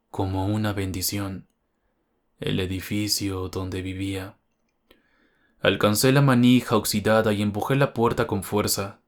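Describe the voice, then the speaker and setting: A man reading a scripted first-person horror story as its narrator. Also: on the low side.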